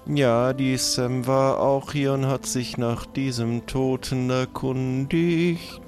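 Man playing a slow-spoken, formal undertaker. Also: mid-range voice, fairly even intonation, darkish tone, faint music behind, lightly acted. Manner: formal, deliberate. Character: formal, unhurried male undertaker, dry and businesslike. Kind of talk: improvised role-play comedy.

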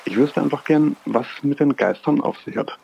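A man's voice: fairly high pitch, fairly quick, creaky. A man giving an informal recorded message as a listener with a request. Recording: muffled, very noisy lo-fi voice message.

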